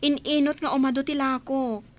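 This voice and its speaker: A woman's voice: sits high, steady tone, moderate pace, lightly acted. A woman playing a grateful, earnest village shopkeeper in an Ilocano radio comedy drama, polite and deferential, with an Ilocano accent.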